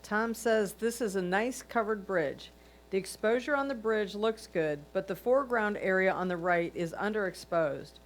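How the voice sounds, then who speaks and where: A woman reading a judge's written comments aloud as a critique. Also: normal speed. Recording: noisy line with low hum.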